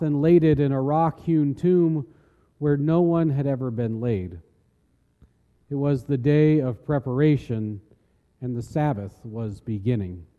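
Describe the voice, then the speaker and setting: A man's voice: mid-range voice, warm tone. A man narrating a solemn, dramatic scripture reading. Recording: long reverb of a large hall.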